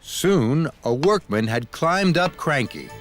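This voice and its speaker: Slow, animated male children's narrator. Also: fairly high pitch. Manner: expressive, deliberate storytelling. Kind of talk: scripted children's story narration. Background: music.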